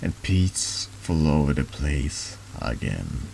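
A man with a deep voice reading horror narration slowly and haltingly in an ASMR style, over background noise. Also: big pitch swings, vocal fry, a little mellow. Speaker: vtuber reading a text game aloud.

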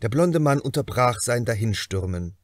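A man reading a crime novel as an audiobook narrator, in a measured, expressive way with deliberate pauses. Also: mid-range voice, no echo, studio quality.

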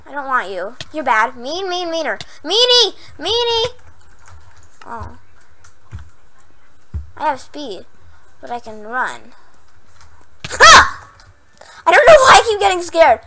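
A young woman playing a horror game, hoarse from losing her voice, jumpy and prone to yelps and squeals when startled, then chatty again. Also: high voice, big pitch swings, takes it slowly, pauses often, heavy background noise. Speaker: gaming channel host. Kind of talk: Minecraft horror map let's play.

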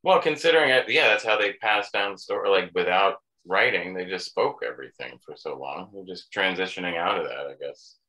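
Man, lowish voice, bright tone, offhand rambling, video call. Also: animated, brisk, few pauses, low-quality recording. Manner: rambling, offhand, dry humor. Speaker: band member. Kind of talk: casual chat.

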